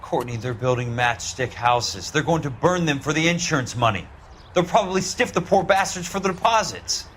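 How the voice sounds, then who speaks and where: An actor in a noir video game playing a tough, sardonic, principled ex-military investigator with wry, clipped delivery. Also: male voice, fairly high pitch, lively intonation, normal speed, fairly bright tone, slight background noise. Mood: stern, scornful. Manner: wry, hard-boiled, clipped.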